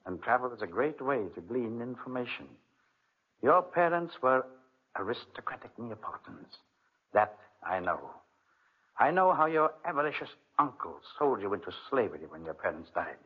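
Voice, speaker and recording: Sly older schemer, husky, swooping voice. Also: male voice, mid-range voice, sing-song, moderate pace. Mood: sly persuasion. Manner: insinuating, coaxing. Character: sly, older guardian uncle, smooth manipulative schemer. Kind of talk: 1940s radio acting. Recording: muffled vintage 1940s radio recording.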